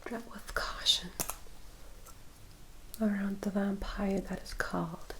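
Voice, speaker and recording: A woman acts as a composed female vampire attendant in an ASMR roleplay. She speaks in a breathy whisper at a very unhurried pace. Her manner is formal and caring, like an older sister gently cautioning a newcomer. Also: medium pitch, little pitch movement, rough recording. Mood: hushed, cautioning. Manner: slow, measured, formal, gently instructive.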